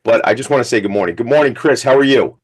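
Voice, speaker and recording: A man hosting a radio talk show with a slightly hoarse, scratchy voice, talking in an energetic, rambling, hype-man way. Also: medium pitch, quick pace. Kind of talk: casual hype radio chat.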